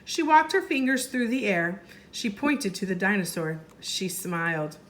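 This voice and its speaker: A woman teacher reading a story aloud to young children in an expressive way, pausing to explain and ask questions. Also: mid-range voice. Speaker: first grade teacher.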